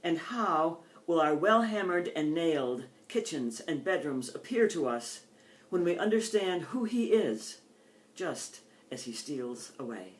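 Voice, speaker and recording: An older woman with an American accent, a poet reading her own poems in deliberate phrases and introducing them informally. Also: sits low, big pitch swings. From United States.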